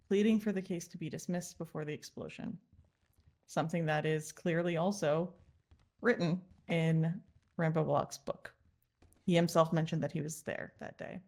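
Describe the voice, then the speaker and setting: Low-pitched woman arguing formally. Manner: measured, formal. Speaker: prosecutor in a courtroom roleplay. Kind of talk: formal closing argument, roleplayed.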